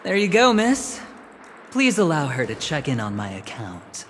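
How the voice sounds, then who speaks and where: Video game role: smug young male executive, theatrical, leisurely, very animated pitch. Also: unhurried, a little hiss or hum. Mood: charming. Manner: theatrical, suave, teasing. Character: smug, flamboyant young male corporate gambler. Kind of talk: scripted video game dialogue. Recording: music behind the voice.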